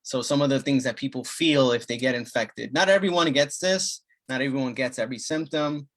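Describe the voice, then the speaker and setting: Halting bilingual family doctor. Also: male voice, mid-range voice, a bit bright. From Dominican Republic. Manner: halting, many fillers and restarts. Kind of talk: community health talk, bilingual.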